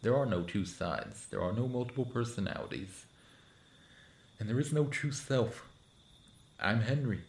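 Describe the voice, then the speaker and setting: A man's voice: on the low side, sing-song, a little slow, creaky, long pauses, a little mellow, reverberant, full character voice. A young man acting in an audio roleplay as an awkward, sardonic friend who is secretly a monster, talking casually and hesitantly to the listener. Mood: awkward, defensive.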